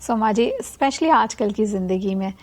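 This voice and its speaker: A woman's voice: mid-range voice, normal speed. A woman hosting an interview, attentive and encouraging, often adding short agreements. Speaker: interview host. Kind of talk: conversational interview.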